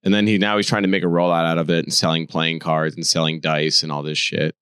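This man has a very deep, smooth voice that sits well below most others. He co-hosts a casual podcast chat and strings long stories together with frequent fillers. The sound is dry and close. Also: flat delivery, quick pace, a bit bright, no room sound. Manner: recounts stories in long runs with many fillers. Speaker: podcast co-host.

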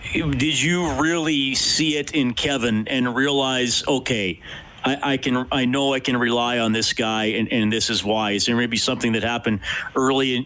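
A man's voice: mid-range voice, sharp tone, low-quality recording. A man hosting a sports radio show, talking and interviewing.

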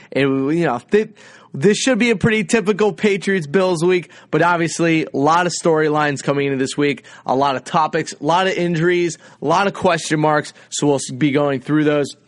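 A man's voice: a little high, no room sound. A man hosting a sports podcast with casual, emphatic, conversational commentary.

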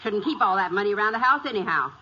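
A woman's voice: medium pitch, expressive, very fast, close to an everyday voice. A woman playing a bossy, nagging country daughter with a scolding manner in a 1950s radio western. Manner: scolding, commanding, rustic. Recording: muffled, noisy 1950s radio recording.

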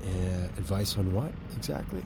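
Harried middle-aged American father, short-tempered, stammering, very animated pitch, car rumble behind, audio drama. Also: moderate pace, creaky. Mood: tense, indignant. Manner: stammering, clipped, evasive. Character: harried, short-tempered father trying to hide his worry. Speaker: actor. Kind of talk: naturalistic audio drama acting. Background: car interior, traffic ambience.

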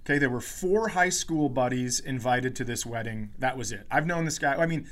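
A man's voice: mid-range voice, normal speed, a bit bright. A man who hosts a podcast as a comedian, telling stories casually with swearing, mock indignation and quoted dialogue.

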